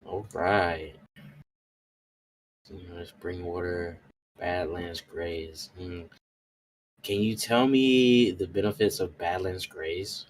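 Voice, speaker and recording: A man's voice: deep voice, steady tone, takes it slowly, long pauses, a little hiss or hum, rough recording. A man playing a tabletop roleplay game, talking casually and hesitantly as he thinks aloud.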